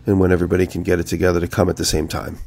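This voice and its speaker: Low voiced guy w gravelly fry, stuffy nose from a cold, just chatting and rambling on a podcast. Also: completely flat delivery, racing, a little mellow, a little hiss or hum. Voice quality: nasal from a cold. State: has a head cold. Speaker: podcast co-host. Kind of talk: casual chat, rambling anecdotes.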